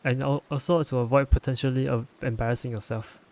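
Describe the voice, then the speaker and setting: Gravelly young man, casual podcast. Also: mid-range voice, quick pace. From Malaysia. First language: Chinese. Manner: relaxed, stumbles and restarts. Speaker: podcast co-host. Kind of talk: casual chat.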